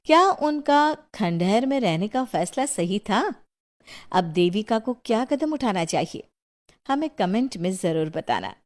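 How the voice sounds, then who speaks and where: A woman reading scripted narration for a cartoon moral story as its storyteller. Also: mid-range voice.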